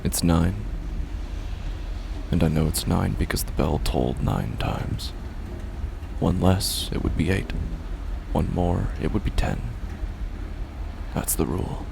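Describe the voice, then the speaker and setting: An actor playing a weary, confused man who clings to rigid rules, narrating a horror monologue in a halting, repetitive, gravelly voice. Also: low-pitched, expressive, background noise, exaggerated voice. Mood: solemn, fixated. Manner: hesitant, halting, brooding, repeats himself. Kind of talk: first-person horror audio drama monologue.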